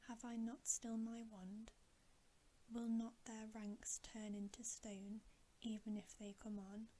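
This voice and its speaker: A woman's voice: a little high, monotone, slow pace, dark tone. A woman voicing a cold, scornful, commanding witch queen in a whispered storybook reading.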